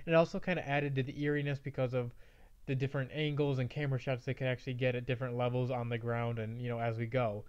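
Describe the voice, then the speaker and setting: A man's voice: medium pitch, a little mellow, smooth. Man with a slight cold, slightly muffled audio. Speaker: movie review show host. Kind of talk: casual movie review to viewers. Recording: slightly dull, band-limited audio.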